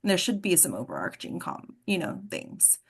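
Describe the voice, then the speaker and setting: A woman's voice: on the low side, sing-song, normal speed, creaky, husky. A woman hosting an informal workshop discussion as an acting coach, instructive and often checking in with the group. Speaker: host and acting coach leading a table read discussion.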